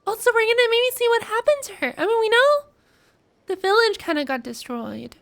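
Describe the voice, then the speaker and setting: Very high-pitched female game streamer. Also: big pitch swings, brisk, gravelly, fairly bright tone. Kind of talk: casual reaction commentary.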